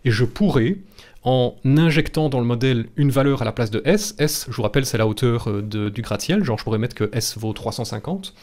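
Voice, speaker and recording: A man's voice: medium pitch, lively intonation, brisk, darkish tone. Belgian French man teaching informally. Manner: chatty, reassuring, jokey, casually blunt. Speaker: statistics teacher on a livestream. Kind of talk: informal live tutorial, explaining step by step. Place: small home room, indoors.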